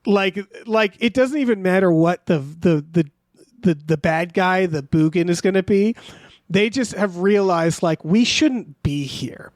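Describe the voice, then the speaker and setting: A man's voice: sits high, unhurried, darkish tone, dry recording, clean studio sound. A man co-hosting a podcast in a casual, comedic recap style, rambling and incredulous, with some offhand swearing. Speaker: podcast co-host.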